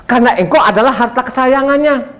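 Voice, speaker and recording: A man's voice: extremely high pitch, fast, a bit bright. A man in his seventies from Sumba, speaking. He preaches a combative, boastful sermon, laughs often and quizzes his listeners. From Sumba, Indonesia. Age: 70s. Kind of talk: sermon to a congregation.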